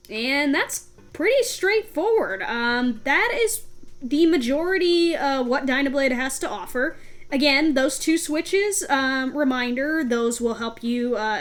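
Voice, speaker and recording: A woman with a high-pitched voice hosts a let's play. She chats casually to her viewers and pokes fun at herself as she goes. Faint video game music plays behind her commentary. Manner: chatty, self-deprecating. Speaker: gaming video host.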